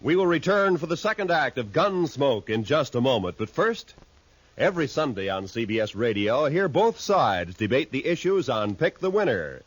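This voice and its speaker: A man delivering a formal 1950s radio studio announcement in a polished announcer's manner. His pitch rises and falls a great deal. The studio sound is dry. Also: big pitch swings, moderate pace, slightly rough, no echo, poor audio.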